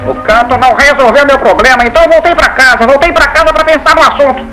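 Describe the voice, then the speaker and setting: High-pitched neurotic Brazilian cartoon duck. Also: male voice, quick pace, bright, forceful tone, low-quality recording. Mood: anxious recounting. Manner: anxious, pleading, stammering. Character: neurotic male cartoon duck confessing strange cravings. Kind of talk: Brazilian cartoon dub narration. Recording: music behind the voice.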